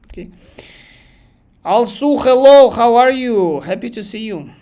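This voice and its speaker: A man's voice: very high voice, expressive, measured pace, warm tone. A man from Kazakhstan with a Kazakh accent, teaching a live online English exam lesson and thinking aloud as he goes. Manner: thinks aloud, repeats phrases, reads chat questions aloud.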